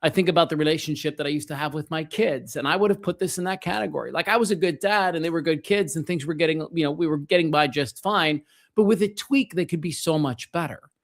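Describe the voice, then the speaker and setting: A man's voice: on the high side, fast talker. A middle-aged man hosting a solo motivational podcast, speaking earnestly and emphatically, straight to the listener. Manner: earnest, emphatic, addresses listener directly with rhetorical questions. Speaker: podcast host and self-help coach.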